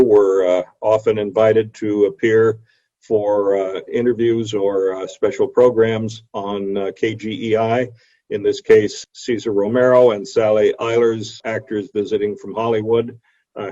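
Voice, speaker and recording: A man giving an illustrated history lecture over a video call, explaining in a measured way with frequent hesitant pauses. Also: on the low side, steady tone, mellow. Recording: dull, lo-fi video call audio.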